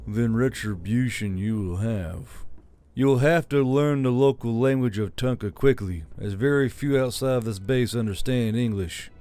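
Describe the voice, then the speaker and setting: A man's voice: medium pitch, normal speed, mellow, no room sound, lightly acted. A man playing a weary, stern militia leader burdened by guilt in an audiobook drama. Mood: firm, commanding.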